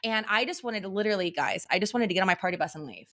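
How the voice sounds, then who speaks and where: A woman co-hosting a podcast, chatting casually and telling stories in a loud, animated way as a wedding photographer. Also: medium pitch, racing. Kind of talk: casual chat.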